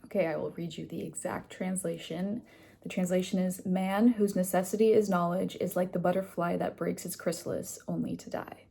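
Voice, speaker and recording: A woman's voice: lowish voice, fairly even intonation, normal speed, vocal fry, dark tone, husky. A young woman chatting casually in a vlog about her day and a book she is reading. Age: young adult. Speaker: vlogger.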